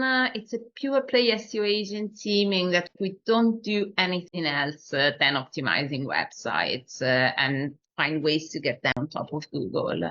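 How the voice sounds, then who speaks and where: A woman from Italy with an Italian accent, presenting a webinar and often pausing on "uh". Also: low-pitched, dull audio. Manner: uses "uh" fillers, warm and inviting. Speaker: SEO agency director, webinar co-host.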